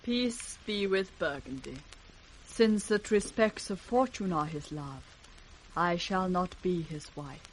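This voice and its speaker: An actress playing a sincere, dignified, wronged princess in a 1960s classical radio drama, measured and formal. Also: female voice, fairly low pitch, slight background noise, low-quality recording. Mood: sad, steadfast.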